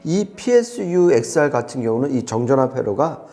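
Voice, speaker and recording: A man's voice: medium pitch, very animated, fairly quick, dark tone. A man explaining products conversationally as an audio reviewer, with an emphatic manner.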